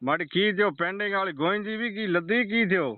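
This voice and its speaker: A man with a Rajasthani accent complains on a narrow, tinny phone line in colloquial dialect. His voice is fairly high and clear, and he keeps insistently repeating his grievance in exasperation. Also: a little fast, dry recording. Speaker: customer phoning in a power-cut complaint. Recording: muffled, band-limited phone call recording.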